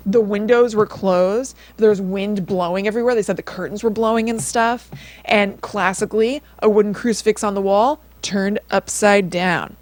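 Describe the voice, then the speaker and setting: A woman tells a story as a podcast co-host. Her voice sits at an average pitch, and her delivery is loose and chatty, like casual conversation. The sound is dry, with no room on it. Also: fairly even intonation, moderate pace, no echo. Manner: informal, chatty, peppered with "like". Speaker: podcast co-host retelling a paranormal TV episode.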